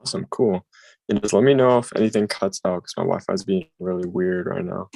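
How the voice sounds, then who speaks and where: Gravelly dude running a game on a video call, dry close sound. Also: male voice, deep voice, a little mellow, no room sound. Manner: casual, brisk organizer. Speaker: game host.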